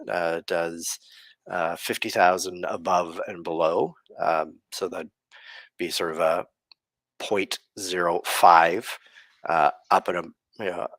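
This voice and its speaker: Deep-voiced guy on a livestream, dry close sound, explains stuff slowly with pauses. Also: a bit bright, no room sound. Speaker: podcast host. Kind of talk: casual live-stream explanation.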